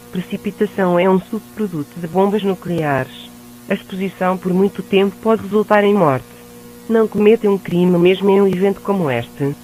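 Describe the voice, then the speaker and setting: A man's voice: sits high, a little mellow, slight background noise, rough recording. A man reading a scripted emergency announcement in a formal, synthetic-sounding way.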